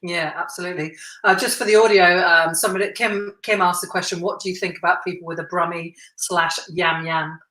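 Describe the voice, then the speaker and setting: A woman hosting a podcast, who chats and laughs easily with her guest and says she has a deep voice. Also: fairly even intonation, fairly quick, hardly any pauses. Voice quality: self-described deep voice. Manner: chatty and warm, laughs often. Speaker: podcast host. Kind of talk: casual interview chat. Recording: roomy echo.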